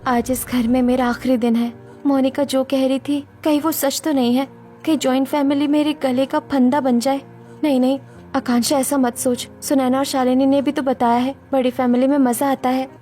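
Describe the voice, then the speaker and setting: A woman voicing an anxious, dutiful young bride in an earnest, expressive family drama story. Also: high-pitched, moderate pace, soft background music, close to an everyday voice. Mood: worried.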